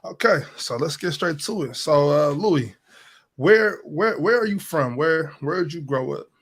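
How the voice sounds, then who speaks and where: A man hosting a casual interview, talking in a relaxed, slangy way and often repeating words while he searches for them. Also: on the high side, a little slow. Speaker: interviewer and show host.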